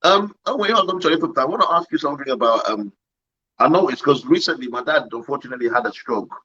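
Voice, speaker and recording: A man asking interview questions in a quick, chatty way. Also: mid-range voice, lively intonation, bright, forceful tone, husky, lo-fi sound.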